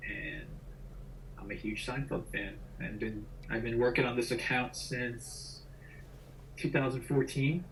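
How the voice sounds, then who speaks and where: A man's voice: medium pitch, steady tone, measured pace, rough recording. A man in his thirties from New Jersey, chatting casually as a guest calling in to a podcast. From New Jersey, United States. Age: 30s. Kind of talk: casual chat. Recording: faint background noise on video call.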